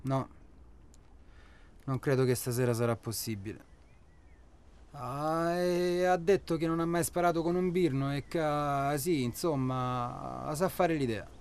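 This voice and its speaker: An actor playing an impatient, rough guide of a sinister safari in a radio drama, speaking in a curt, pressing, colloquial way. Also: male voice, fairly high pitch, a little slow, darkish tone. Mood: reassuring. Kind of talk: naturalistic Italian radio drama acting.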